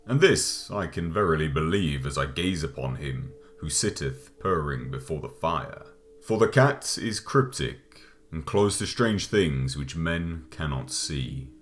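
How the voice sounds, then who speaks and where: A man reads an old gothic tale as an audiobook narrator, solemn and measured, letting his phrases fall away at the ends. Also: very deep voice, lively intonation, a bit bright. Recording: music behind the voice. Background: background music.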